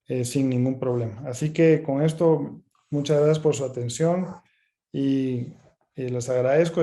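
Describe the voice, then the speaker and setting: A man speaking as a doctor giving an explanatory webinar lecture, halting and pausing mid-phrase. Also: medium pitch, creaky, mellow. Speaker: ENT specialist doctor presenting a webinar.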